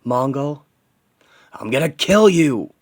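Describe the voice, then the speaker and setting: Vengeful superhero, played by a male narrator: low, grieving, determined, terse monologue in a comic-book story. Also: fairly even intonation, measured pace. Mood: furious. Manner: terse, dramatic inner monologue in short fragments.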